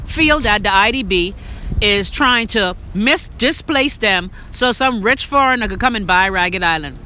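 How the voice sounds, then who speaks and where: A woman's voice: medium pitch, lively intonation, measured pace, bright, forceful tone. A Bahamian woman hosting a live interview outdoors, talking in a slangy, teasing way and calling her guest "girl.". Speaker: talk show host. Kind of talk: casual live street interview. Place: outdoors on a loud street. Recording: noisy street traffic behind.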